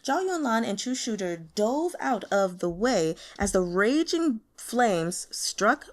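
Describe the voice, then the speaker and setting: A woman reading a novel aloud as an expressive audiobook narrator. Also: mid-range voice, takes it slowly. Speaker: audiobook reader.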